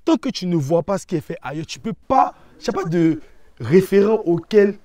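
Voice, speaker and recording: A man's voice: high voice, very animated, brisk, warm tone, no echo. A man answering in a conversational interview, explaining his views and often checking that the listener follows. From Ivory Coast. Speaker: interview guest.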